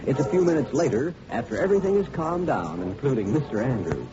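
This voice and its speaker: A man's voice: mid-range voice, expressive, fast talker, over music. A man announcing and reading sponsor spots in cheerful, emphatic 1940s radio style. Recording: dull, faintly noisy vintage radio recording.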